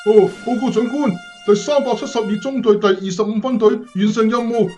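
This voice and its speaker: A man's voice: high voice, fairly even intonation, fairly quick, darkish tone, soft background music, lightly acted. A male actor playing an eager young airman in a radio drama. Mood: dutiful.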